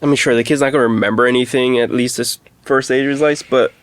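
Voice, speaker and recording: A man with a clear, smooth, mid-range voice chats as a podcast co-host. He works through his thoughts tentatively, often seeking agreement, in a casual conversational style. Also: mid-range voice, steady tone, quick pace. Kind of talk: casual discussion.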